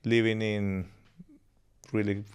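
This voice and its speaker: A man in his thirties from Colombia with a Colombian accent and Spanish as his first language, telling his life story as a podcast guest in a relaxed, rambling way. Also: lowish voice, takes it slowly, smooth. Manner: relaxed, laughs easily, rambling anecdotes. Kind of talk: casual interview storytelling.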